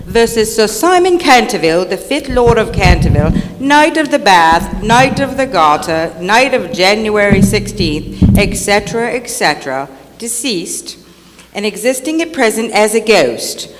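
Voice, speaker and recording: A woman's voice: medium pitch, expressive, natural voice. A woman playing a pompous, courteous royal official of Britain with a formal, officious manner in an old-time radio stage play. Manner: formal, officious, measured wording. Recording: echoey hall, faint noise.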